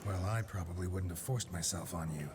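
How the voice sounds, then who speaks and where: An actor playing a gruff, weary male monster hunter in a game cutscene, speaking in a terse, dry way. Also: low voice, fairly quick, over loud music, natural voice. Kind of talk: video game cutscene acting.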